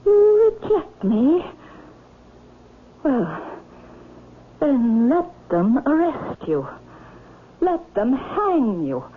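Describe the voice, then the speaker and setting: A woman's voice: high-pitched, big pitch swings, drawn-out words, pauses often, exaggerated voice. A woman playing a possessive, scheming aristocratic widow in a vintage radio drama, insistent and imperious, with melodramatic delivery. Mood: spurned, vindictive. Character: possessive, scheming aristocratic widow, turning vindictive when spurned. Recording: tinny, hissy old radio drama recording.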